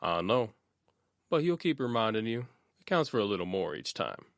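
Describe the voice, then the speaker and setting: A big, intense middle-aged enforcer, read by a male narrator, calm and measured with a quiet threat underneath. The voice sits very low, and the recording is close and dry. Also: vocal fry, no room sound. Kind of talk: audiobook character voice.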